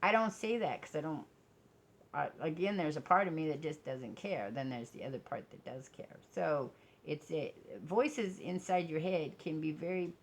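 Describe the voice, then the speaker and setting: A woman with a strikingly deep voice chats in a loose, rambling vlog style. She is frank and self-deprecating, often stopping and restarting as she works out her thoughts aloud. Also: animated, moderate pace. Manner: candid, self-deprecating, blunt. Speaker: vlogger. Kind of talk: casual rambling personal vlog.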